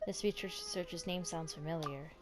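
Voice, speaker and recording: A woman's voice: fairly low pitch, normal speed, very noisy. A woman streamer giving casual, informal reactions while playing a game, musing to herself. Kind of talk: casual gameplay reaction commentary. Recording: game music with guitar behind voice.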